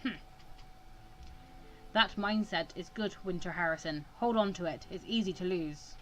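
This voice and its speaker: A woman voicing a stern, cold male mentor in a visual novel, speaking in a measured, firm way. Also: on the low side, a little hiss or hum, lightly acted.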